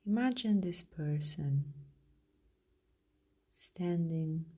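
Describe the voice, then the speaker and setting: Low, slow, mellow woman guiding meditation. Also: expressive, husky. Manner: gentle, soothing, unhurried with long pauses. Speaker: meditation guide, podcast host. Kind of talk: guided meditation narration.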